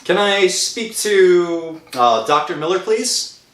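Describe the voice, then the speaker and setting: Roomy echo, male actor as guilt-stricken working-class husband, halting, very animated pitch, radio thriller. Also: noisy background. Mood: distressed. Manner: halting, dramatic. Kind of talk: old-time radio thriller acting, narration and dialogue. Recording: roomy echo, faint music behind.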